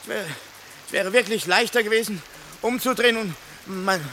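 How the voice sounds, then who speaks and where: Bright-voiced, halting grumbling soldier. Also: male voice, sits high. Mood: hesitant, aggrieved. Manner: halting, stammering, repeating words. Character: weary grumbling male fugitive soldier, hesitant, needling. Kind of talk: radio play acting. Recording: noisy radio play scene, rain falling.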